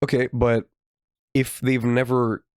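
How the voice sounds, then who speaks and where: A young man co-hosting a podcast, reasoning aloud in a casual, halting philosophical discussion. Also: mid-range voice, level tone, no echo, studio quality. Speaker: podcast co-host.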